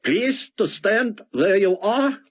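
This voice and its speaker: A man's voice: high-pitched, expressive, slow pace, voice pushed up, exaggerated voice. A man voicing a young foreign peacekeeping officer who speaks with a heavy accent in stilted, commanding phrases. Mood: suspicious.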